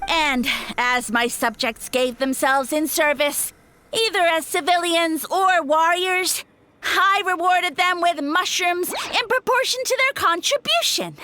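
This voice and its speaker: A woman's voice: very high-pitched, measured pace, pushed and bright, a little hiss or hum, exaggerated voice. A woman voicing a boastful male mushroom spirit who calls himself a savior, grand and evasive in a video game. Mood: grandiose. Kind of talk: game voice acting.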